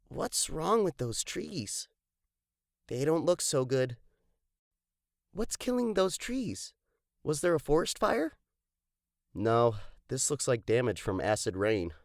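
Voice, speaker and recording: A woman voicing a curious, practical young explorer in an expressive children's storybook read-aloud. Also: low-pitched, slow pace, long pauses, dry recording, clean studio sound, voice pushed down, full character voice. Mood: curious, earnest. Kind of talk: dramatized children's storybook dialogue.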